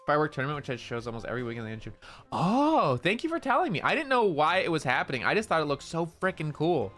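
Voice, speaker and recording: Young male streamer, nasal, loud and slangy, bright edge. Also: fairly high pitch, sing-song, fast talker, soft background music. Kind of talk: casual stream chat.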